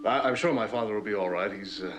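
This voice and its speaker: A man's voice: on the low side, fast, natural voice. An actor playing a troubled, anxious young male heir, theatrical and hesitant, in an old suspense drama. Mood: weary, imperious. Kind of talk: old radio and television suspense drama acting. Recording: noisy vintage drama recording.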